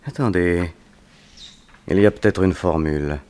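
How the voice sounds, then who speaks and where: An actor playing a hard, calculating creditor with a menacing, deliberate manner in a 1960s French radio drama. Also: male voice, sits low, a little mellow, natural voice. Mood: sly, calculating.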